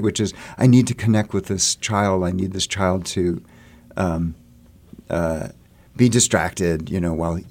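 A man's voice: low voice, a little mellow. A man explaining theater work in a conversational interview, thinking aloud and often rephrasing mid-sentence. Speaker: theater artist interviewed about a stage production.

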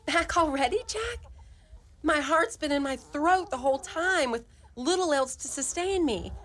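A woman's voice: high-pitched, animated, unhurried, a bit bright, slight background noise. A woman playing a long-suffering, scolding widowed mother in a fairy-tale radio play, lamenting theatrically. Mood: anxious, eager.

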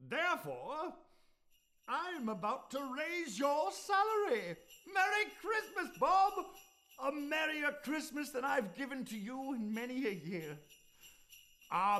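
An actor playing a gleeful, reformed old miser in a radio play, with an exuberant, chuckling delivery. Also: male voice, lively intonation, unhurried, pushed and bright, heavily acted. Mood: teasing. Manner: exuberant, chuckling, sweeping pitch. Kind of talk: stage radio play acting.

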